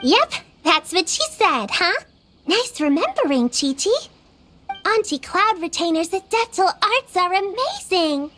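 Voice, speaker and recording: A woman voicing a caring, responsible little girl in a video game, gently instructive, with music behind her. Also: very high voice, big pitch swings, measured pace, a little hiss or hum, full character voice. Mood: bright, explaining.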